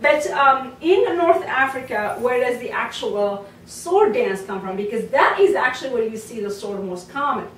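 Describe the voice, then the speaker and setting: A woman's voice: on the high side, expressive, normal speed, hardly any pauses, a little hiss or hum. A woman from Morocco, a belly dance teacher, talking casually to camera with lots of fillers and asides. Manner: chatty, many fillers and asides. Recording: roomy sound from a distant mic.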